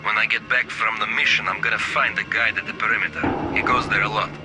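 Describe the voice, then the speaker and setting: An actor playing a weary, bitter lone stalker in a video game, brooding and grumbling to himself. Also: male voice, lowish voice, fairly even intonation, fast talker, over music, close to an everyday voice, rough recording. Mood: worried, frustrated. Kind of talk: scripted video game monologue.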